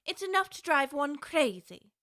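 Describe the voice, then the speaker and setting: An actress playing a polite, curious young girl who speaks earnestly, timid yet quick to object. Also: high-pitched, very animated, bright, forceful tone, dry recording, studio quality, exaggerated voice. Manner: earnest, timid yet indignant, well-spoken. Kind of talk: audiobook drama acting.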